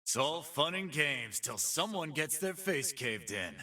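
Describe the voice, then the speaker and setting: An actor playing a cocky, streetwise pit-fighting boss, boastful and sardonic, in video game voice lines. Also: male voice, on the high side, measured pace, far from the mic, heavily acted. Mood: cocky menace. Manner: streetwise, boastful, deadpan wisecracking. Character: cocky male pit-fighting boss, brawny half-beast with sardonic swagger.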